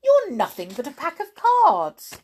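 A woman's voice: lowish voice, wildly swooping pitch, measured pace, slightly rough, heavily acted. A woman voicing a bold young storybook girl with a defiant, plain-spoken manner.

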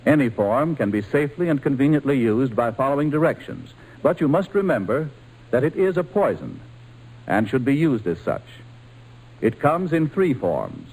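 Animated male radio announcer. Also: medium pitch, breathy edge, rough recording. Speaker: sponsor's announcer. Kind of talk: 1940s radio commercial, scripted narration.

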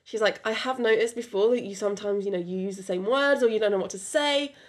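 A woman's voice: mid-range voice, fast. A young woman with a British accent, French her first language, hosting a podcast in a rambling casual chat full of fillers. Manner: rambling, many fillers and restarts. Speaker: podcast host.

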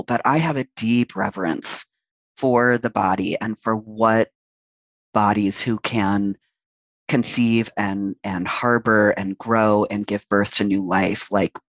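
A man's voice: on the low side, fairly bright tone, dry recording. A transmasculine man in his late forties, a podcast guest speaking frankly and reflectively at length with fillers. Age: late 40s. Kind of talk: candid interview conversation.

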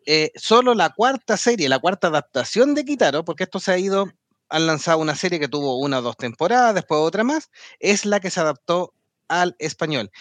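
A man's voice: fairly high pitch, fairly bright tone, dry recording. Chatty man, dry close sound. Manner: chatty, laughs often, trails off into restarts. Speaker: podcast co-host. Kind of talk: casual anime talk with co-hosts.

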